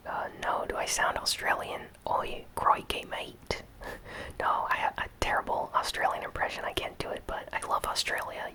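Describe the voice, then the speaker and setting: Whispering YouTuber, bright thin tone, casual fan Q&A, rambling with lots of fillers. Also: low-quality recording. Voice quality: whispered, breathy, unvoiced delivery. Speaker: gaming YouTuber answering viewer comments. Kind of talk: casual Q&A chat, reading comments aloud.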